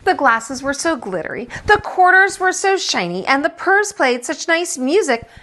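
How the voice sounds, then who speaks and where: A woman reading a children's picture book aloud as a teacher, expressive and dramatizing, with asides about the pictures. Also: sits high, normal speed.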